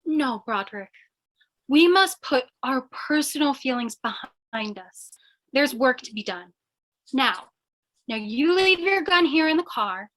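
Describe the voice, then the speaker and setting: Woman doing a high bright voiced radio play dame, plucky, coy, acting all prim and dignified. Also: animated, unhurried, rough recording. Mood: prim, earnest. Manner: theatrical, coy, mock-dignified. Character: plucky woman posing as a ladylike gang boss.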